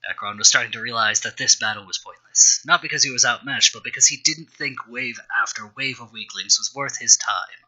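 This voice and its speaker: A man with a very bright, sharp-edged voice at a medium pitch reads a story aloud as its host. He narrates in a storytelling style and steps out of it for wry, self-mocking remarks about the writing. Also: fairly quick, few pauses, low-quality recording. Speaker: host reading his own old fan fiction.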